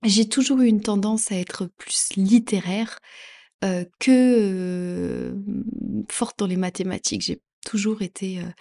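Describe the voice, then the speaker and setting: A woman's voice: medium pitch, unhurried, warm tone. A woman from France hosting a podcast as a French teacher, talking casually with many fillers and frequent laughs. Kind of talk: casual educational podcast talk.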